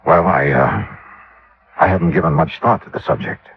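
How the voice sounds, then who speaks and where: An actor playing a courteous hard-boiled insurance investigator, speaking in a measured, polite way in an old radio detective drama. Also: male voice, rumbling low, slight background noise. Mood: courteous, uneasy. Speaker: lead investigator and narrator. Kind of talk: 1950s radio detective acting. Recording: tinny vintage radio, band-limited.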